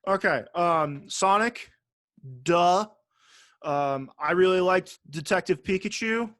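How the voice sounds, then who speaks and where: A man's voice: high-pitched, slow, a bit bright, dry recording, clean studio sound. A man co-hosting a podcast in casual, teasing chat, swearing freely. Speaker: podcast co-host.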